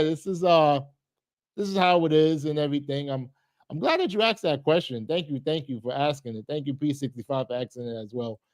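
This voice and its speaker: A man's voice: on the high side, a little mellow, no echo. A middle-aged man hosting a casual livestream, talking earnestly to his viewers with lots of restarts and repeated thank-yous. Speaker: livestream host.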